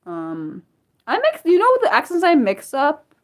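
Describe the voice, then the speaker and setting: An American woman hosting a casual livestream, rambling and laughing often, trailing off with self-deprecating asides and swinging from loud bursts to soft mumbles. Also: high voice, wildly swooping pitch, normal speed. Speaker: livestream host. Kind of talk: casual unscripted livestream chat, answering viewers.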